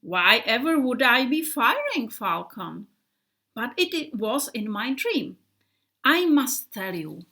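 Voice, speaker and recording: A woman's voice: a little high, very animated, slow, bright, forceful tone, exaggerated voice. A woman voicing an earnest old bomber plane. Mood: reassuring. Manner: earnest, vivid retelling of a dream.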